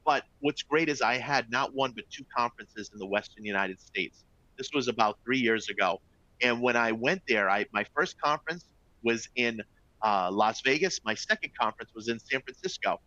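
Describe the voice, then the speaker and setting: A man's voice: mid-range voice, normal speed, pushed and bright, muffled recording. A middle-aged man with a Boston accent presents a live webinar to travel agents, upbeat and chatty, often checking in with "all right?" and "folks". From Boston area, US.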